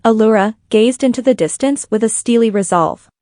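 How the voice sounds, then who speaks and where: Higher-voiced woman narrating a story, dry sound. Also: fairly quick, mellow, dry recording. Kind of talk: scripted fan fiction narration.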